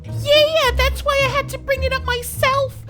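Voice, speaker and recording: A woman's voice: very high-pitched, little pitch movement, moderate pace, loud music behind the voice. A woman playing a timid, eager receptionist in a comic audio drama, hesitant and stammering. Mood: eager, flustered.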